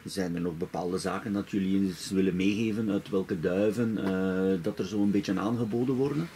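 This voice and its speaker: A man's voice: low-pitched, moderate pace, mellow, a little hiss or hum. A man with a Dutch accent interviewing pigeon breeders in casual talk, hesitant, with many filler sounds.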